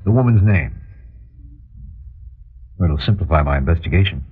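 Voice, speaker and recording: A sympathetic, matter-of-fact detective in a vintage radio play. His deep voice moves through lively rises and falls as he reassures. The old recording sounds a bit dull. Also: normal speed, background noise. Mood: sympathetic, probing. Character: businesslike, sympathetic male private detective. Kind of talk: old-time radio drama acting.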